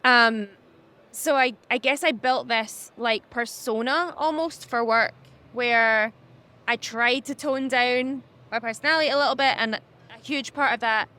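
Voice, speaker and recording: A young woman with a high-pitched voice, talking candidly as a podcast guest, animated and laughing often. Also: unhurried. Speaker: podcast guest, social media business owner. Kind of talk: candid conversational interview.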